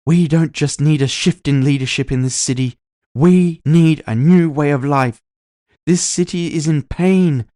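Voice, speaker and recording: A man playing a centuries-old female elf politician, giving an impassioned, grandiose rally speech in an audio drama with drawn-out, emphatic phrasing. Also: on the high side, warm tone, no room sound, studio quality, pitched above the performer's own voice, natural voice.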